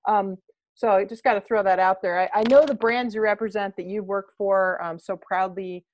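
A woman's voice: medium pitch, steady tone, moderate pace, darkish tone, slight background noise, rough recording. A woman hosting a podcast interview, chatty and loose, leaning on fillers as she frames her questions. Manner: chatty, many fillers and "obviously". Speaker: podcast host.

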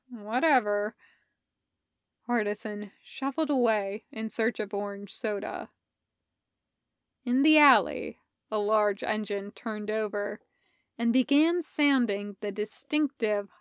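A woman's voice: mid-range voice, slow, clean tone, no echo, clean studio sound. A woman reading a story aloud as scripted narration. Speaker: podfic reader. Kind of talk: scripted narration of fan fiction with acted dialogue.

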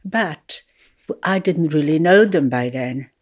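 An older woman from Poland, slowly and thoughtfully recalling her life in an interview, with soft laughs. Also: sits low, expressive. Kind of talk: reflective personal recollection.

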